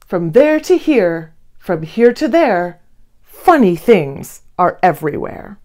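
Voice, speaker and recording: Woman, children's storybook reader, lively varied intonation, unhurried, deliberate, theatrical rhyming delivery. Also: on the low side. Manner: expressive, theatrical, deliberate phrasing. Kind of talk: animated read-aloud of a rhyming picture book. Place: small indoor studio with blue backdrop.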